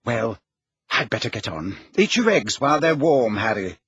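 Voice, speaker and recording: A man voicing a sharp, careworn middle-aged mother, brisk and firm, in an audiobook story. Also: medium pitch, very animated, breathy edge, no echo, dull audio, full character voice.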